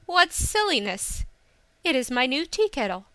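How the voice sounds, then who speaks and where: Feisty young storybook heroine, acted by a female narrator: high, bright, indignant, sharp-tongued scolding. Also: sing-song, a little slow, no room sound, exaggerated voice. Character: feisty, scolding young tea-house woman.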